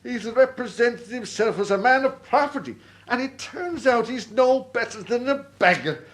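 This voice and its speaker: A man's voice: very high-pitched, expressive, normal speed, a bit bright. An actor playing a tipsy, blustering old Irish father and army captain in a radio drama, bombastic, maudlin and melodramatic. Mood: blustering outrage.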